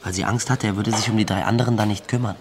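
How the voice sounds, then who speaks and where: An actor playing an awkward, loyal young small-time thief in a radio crime drama, defensive and plain-spoken. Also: male voice, on the low side, steady tone, racing, noisy background, natural voice. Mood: wry. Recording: music behind the voice.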